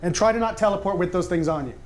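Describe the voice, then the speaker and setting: A man's voice: sits high, rapid-fire. A man chatting casually as a player in a tabletop game.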